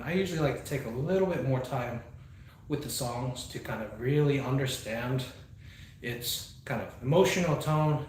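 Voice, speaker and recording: A man hosting his own speedbag channel, chatting casually and reflectively with plenty of fillers while he trains. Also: mid-range voice. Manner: reflective, meandering, many fillers. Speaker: speedbag performer and channel host. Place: indoor training space with a speedbag platform. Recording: very echoey room, faint background noise.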